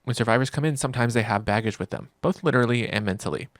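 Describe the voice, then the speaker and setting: A man's voice: mid-range voice, rapid-fire, no echo, clean studio sound. A man narrating a video game review in an informal, wry, joking way, with casual reactions. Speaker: gaming video creator.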